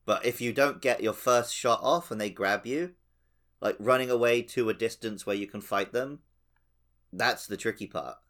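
A man's voice: medium pitch, normal speed, fairly bright tone. A man co-hosting a casual gaming podcast, explaining things in an analytical, step-by-step way. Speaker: podcast co-host. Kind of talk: casual video game discussion.

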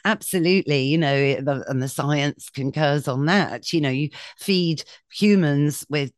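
A woman's voice: sits low, a little slow, dry recording, clean studio sound. A woman hosting a podcast in a chatty, casual way, often saying "you know" and laughing lightly. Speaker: podcast host.

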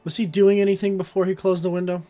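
A man's voice: high-pitched, level tone, fast talker, dark tone. A man talking casually as a player in a tabletop roleplaying game, asking questions and saying what his character does. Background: background music.

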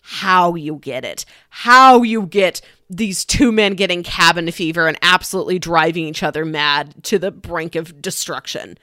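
A woman's voice: fairly low pitch, normal speed, hardly any pauses, bright, forceful tone, no room sound, clean studio sound. A woman with an American accent chatting enthusiastically and emphatically as she recommends films as a podcast co-host. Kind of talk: casual chat, film recommendations.

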